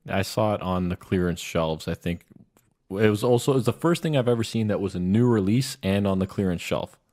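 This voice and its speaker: A man's voice: lowish voice, brisk, darkish tone. A man talking casually as a podcast co-host. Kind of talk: casual chat.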